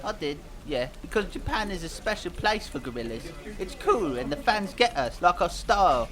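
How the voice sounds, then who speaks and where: A man's voice: high voice, very animated, normal speed. A man voicing a dim, earnest cartoon pop singer, rambling vaguely through a mock interview. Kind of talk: mock interview fan dub.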